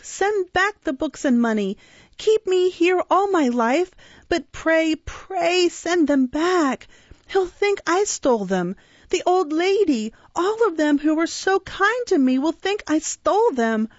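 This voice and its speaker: A woman's voice: very high-pitched, a little mellow, no echo, voice pushed up. A woman reading an audiobook, voicing a frightened young orphan boy who begs in tearful desperation, on a dull, dry recording.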